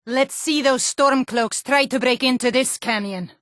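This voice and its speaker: A woman's voice: high-pitched, a little slow, fairly bright tone, no echo, clean studio sound. A woman voicing a hardy, forthright Nord townswoman and guard in a fantasy video game, speaking in an earnest, firm, plain-spoken way. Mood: proud, scornful.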